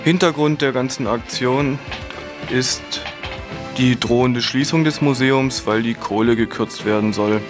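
Man, mid-range voice, reads local radio event notices with clear guitar music underneath. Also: medium pitch, unhurried. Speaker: radio presenter. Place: radio studio.

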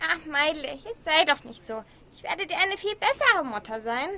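A woman's voice: sits high, big pitch swings, moderate pace, sharp tone, exaggerated voice. A woman playing a lonely, fretful old grandmother in an amateur radio play, chatty, wistful and clinging. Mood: pleading. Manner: wistful, chatty, clinging, addresses others as my child. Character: lonely, doting, fretful old granny dreaming of America.

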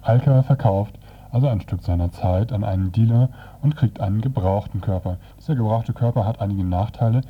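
Husky radio guy retelling a comic, dry and hesitant, kinda muffled recording. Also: on the low side, brisk. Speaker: radio presenter reviewing comics. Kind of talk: semi-improvised plot retelling.

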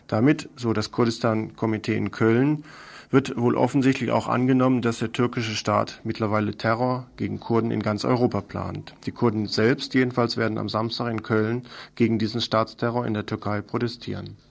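A man's voice: fairly low pitch, steady tone, fairly quick, vocal fry. A man presenting a radio news programme, reading scripted reports.

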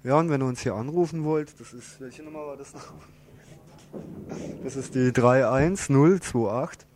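A man's voice: medium pitch, moderate pace, a little mellow, slight background noise. A man co-hosting a live radio show, making informal announcements in a studio. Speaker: radio co-host.

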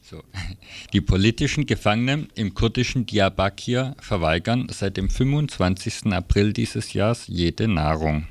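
A man's voice: lowish voice, expressive, normal speed. A man presenting radio news, reading a scripted report.

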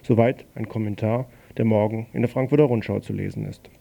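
A man presenting on community radio, reading out a newspaper commentary in a measured, pointed way. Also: fairly low pitch, fairly even intonation, creaky, highs cut off. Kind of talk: reading a press commentary on air.

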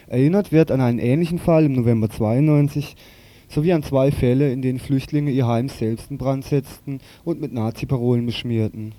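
A man's voice: medium pitch, mellow, no echo. A man reading a scripted political commentary on the radio in a formal way, with occasional slips.

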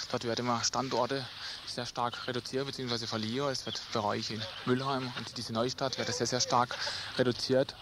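A man giving a spoken interview statement as a staff representative, recorded with clear noise. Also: medium pitch. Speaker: staff council chairman. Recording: clearly noisy background.

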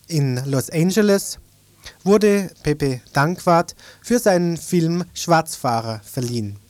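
A man's voice: a little high, darkish tone, no room sound. A man presenting a scripted radio report as reporter and interviewer.

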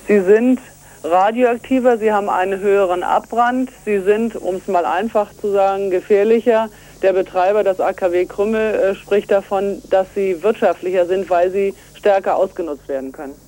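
A woman's voice: medium pitch, mellow. A woman being interviewed on the radio over a telephone line as an environmental activist, explaining step by step in an earnest, emphatic manner. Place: on a telephone call to a radio show.

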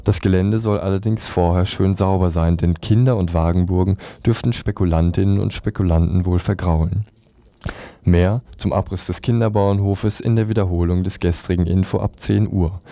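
A man's voice: low-pitched, fairly even intonation, moderate pace, warm tone, no echo. A man presenting scripted news on the radio.

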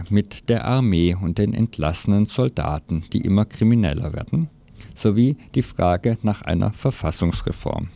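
A man's voice: on the low side, vocal fry, mellow. A man presenting news on morning radio in a read, reporting style.

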